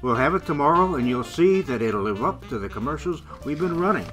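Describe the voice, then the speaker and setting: A man's voice: lively intonation, a little fast, natural voice, poor audio. Man playing a folksy, genial salesman granddad. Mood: proud, selling. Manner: folksy, pitchman-like. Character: genial grandfather, proud poultry company salesman. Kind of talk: radio play acting. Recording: music behind the voice.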